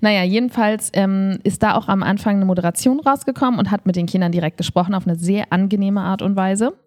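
A woman chatting casually as a podcast co-host, telling stories in an animated way and slipping into quoted dialogue. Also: mid-range voice, fast. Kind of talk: casual conversational storytelling.

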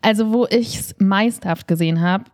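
A woman co-hosts a conversational podcast with a mid-pitched voice. She tells stories in an animated, casual way and acts out what people said as she goes. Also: moderate pace. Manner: animated storyteller, acts out quoted dialogue. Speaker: co-host of a theatre podcast.

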